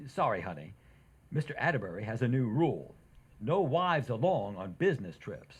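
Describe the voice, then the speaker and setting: A woman acts out an easygoing husband in an old-time radio comedy. The voice is low and husky with lively swings, good-natured even when exasperated. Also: moderate pace, full character voice. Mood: amused, easygoing. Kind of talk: old-time radio sitcom acting in community theater.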